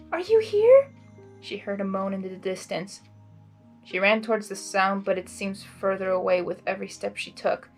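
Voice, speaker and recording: A woman reading a story expressively and breaking into casual, chatty, excitable reactions. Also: medium pitch. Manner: excitable, giggly asides. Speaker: fan fiction reader and reactor.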